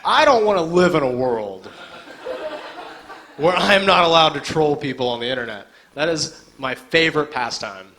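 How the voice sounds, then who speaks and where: A man's voice: a little high, animated, normal speed, pushed and bright. A young man doing a live stand-up set on an indoor stage, talking casually and sardonically with lots of filler. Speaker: stand-up comedian.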